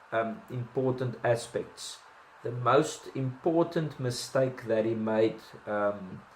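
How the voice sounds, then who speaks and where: A man's voice: mid-range voice, a little mellow, echoey room, slight background noise, low-quality recording. A man with a South African accent giving casual boxing commentary, chatty, with many ums and restarts and self-deprecating asides.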